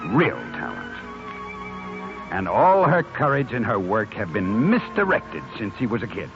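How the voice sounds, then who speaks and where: Impassioned, theatrical low-voiced American agent, old radio. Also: male voice, wildly swooping pitch, measured pace, music in the background, exaggerated voice. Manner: theatrical, emphatic, speechifying. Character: impassioned, blunt male music talent agent, remorseful underneath. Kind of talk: 1950s American radio drama acting. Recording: muffled, hissy 1950s radio recording.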